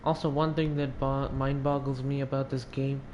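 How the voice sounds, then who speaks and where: A man's voice: medium pitch, flat delivery, normal speed, a little mellow, slight background noise. A teenage young man giving casual, offhand gameplay commentary, muttering and sometimes sweary. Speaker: gamer, video maker. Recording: game music behind the voice.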